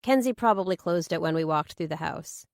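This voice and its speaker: A woman's voice: fairly low pitch, animated, brisk, dry recording, clean studio sound. Woman voicing a reserved, matter-of-fact young heroine. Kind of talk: audiobook character dialogue.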